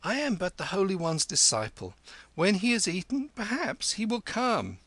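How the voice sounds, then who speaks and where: A man voicing a cunning young street boy who flatters and wheedles. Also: high-pitched, normal speed, dry recording, voice pushed up, heavily acted. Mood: wheedling.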